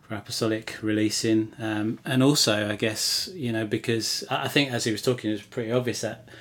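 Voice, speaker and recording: A man with a low, even voice that hardly rises or falls, thinking aloud in a relaxed podcast chat. He speaks haltingly, with plenty of hesitation. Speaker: podcast co-host. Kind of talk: casual reflective chat.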